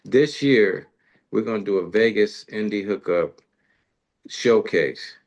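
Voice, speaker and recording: A man's voice: lowish voice, slow. A man in casual conversation about music as a show host, using informal, colloquial phrasing.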